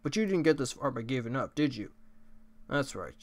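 A man voicing a laid-back skeleton from a video game, a joker and judge who speaks in casual, deliberate phrases. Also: mid-range voice, very animated. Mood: sincere, encouraging. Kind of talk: character voice acting of video game dialogue.

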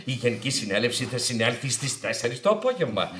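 A man's voice: medium pitch, big pitch swings, fairly bright tone, a little hiss or hum. An actor playing a pompous, self-satisfied male bank chairman, florid and gushing in a theatrical Greek radio play.

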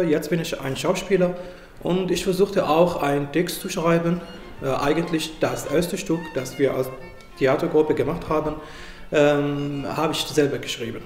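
A man in his thirties speaks haltingly, with many fillers, in an interview. His voice is a little high and rings strongly off the room, with faint music behind. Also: darkish tone, reverberant. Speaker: amateur actor and playwright. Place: room with purple wall, indoors.

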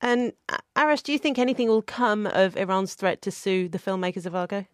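A woman hosting a radio discussion, introducing guests and moderating in a brisk, polite way. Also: mid-range voice, steady tone, dry recording, clean studio sound. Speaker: radio show host.

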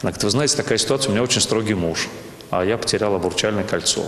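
Deep-voiced priest in an echoing church. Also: male voice, very fast. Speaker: parish priest, church rector. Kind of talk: on-camera interview, storytelling. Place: inside a small church. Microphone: handheld interview microphone. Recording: echoey, reverberant church interior.

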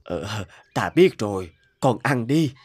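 An actor playing a meek, lonely old father in an animated story, speaking hesitantly with stammers. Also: fairly low pitch, slow pace, slightly rough, exaggerated voice. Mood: resigned. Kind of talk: animated moral story dubbing.

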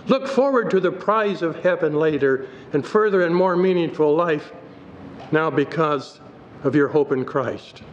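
An older man, a pastor, delivering a sermon at a pulpit in a church, reading scripture aloud from his notes in a measured way. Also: on the high side, expressive. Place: church sanctuary. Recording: dull hall recording with faint noise.

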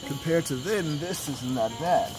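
A man's voice: on the high side, lively intonation, a little slow, over music, poor audio. A man acting a laconic war veteran turned drifting labourer in a western film. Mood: subdued.

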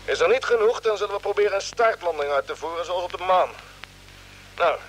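An actor playing a calm, authoritative spaceship captain giving brisk orders in a vintage radio drama. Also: male voice, sits high, rough recording. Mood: commanding. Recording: old radio recording with background noise.

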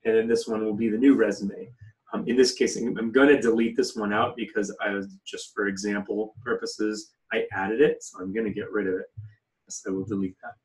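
A man giving an informal, step-by-step software tutorial as an instructor. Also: fairly low pitch, level tone, a little fast. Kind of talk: informal step-by-step screen-share walkthrough. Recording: voice somewhat distant from the mic.

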